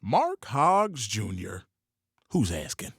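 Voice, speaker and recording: A man playing a gruff Western barkeep with a very husky voice that rises and falls dramatically. He is curt and needling, a guarded saloon boss in an audio drama. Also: medium pitch, huge pitch swings, slow, dry recording, studio quality, heavily acted. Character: gruff, guarded male saloon owner and bartender.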